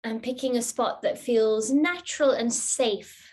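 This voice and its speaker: A woman teaching a yoga nidra class, giving guided relaxation instructions in a gentle, unhurried, soothing manner. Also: on the high side.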